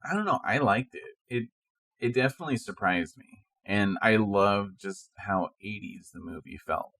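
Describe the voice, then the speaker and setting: A man's voice: lowish voice, lively intonation, moderate pace, vocal fry, a bit bright, no echo. A man co-hosting a podcast, chatting casually in a laid-back, informal way. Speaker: podcast co-host. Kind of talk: casual chat.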